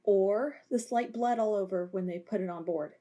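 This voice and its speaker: A woman playing a calm, deadpan female officer in an amateur audio drama. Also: medium pitch, normal speed, natural voice. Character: laid-back female officer, deadpan tea lover.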